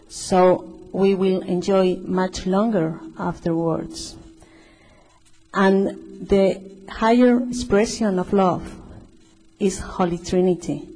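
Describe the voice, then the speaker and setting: A woman scientist, not a native English speaker, answering a question thoughtfully with some halting starts. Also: medium pitch, darkish tone. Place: large hall. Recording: long reverb in a hall.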